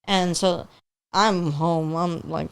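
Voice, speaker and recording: A woman's voice: fairly low pitch, steady tone, slow pace, gravelly, smooth. A young woman in her twenties whose first language is Spanish, chatting casually as a podcast guest and filling her talk with "like". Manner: rambling, heavy use of "like", upbeat. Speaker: podcast guest, hair stylist. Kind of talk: casual chat.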